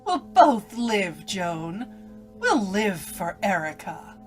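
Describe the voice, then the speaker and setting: A woman's voice: mid-range voice, big pitch swings, slow, soft background music. A woman playing an evil, taunting alter ego, a voice inside a condemned girl's head, in old-time radio drama style. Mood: taunting, gloating. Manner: menacing, taunting, gloating.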